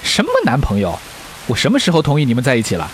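A man's voice: medium pitch, wildly swooping pitch, rapid-fire. An actor in a radio drama playing a stern, protective middle-aged father, lecturing firmly. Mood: stern, disapproving. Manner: firm, lecturing. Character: stern, protective widowed father of a teenage girl. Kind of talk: Chinese radio drama acting. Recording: faint hiss, rain-like noise.

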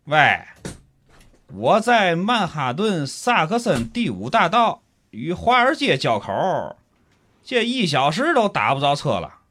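Guy acting whiny tianjin commuter in a radio comedy, high pitched, swings up and down, super insistent. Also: huge pitch swings, takes it slowly, a bit bright, heavily acted. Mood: miserable, pleading. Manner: complaining, insistent, colloquial. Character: stranded male commuter, whiny, pushy, threatening. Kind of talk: comic radio drama acting.

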